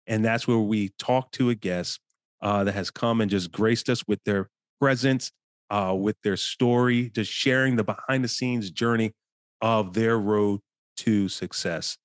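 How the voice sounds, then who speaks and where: A man's voice: fairly low pitch, steady tone, measured pace, dry recording, clean studio sound. A man hosting an interview podcast in a casual, conversational style. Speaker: podcast host.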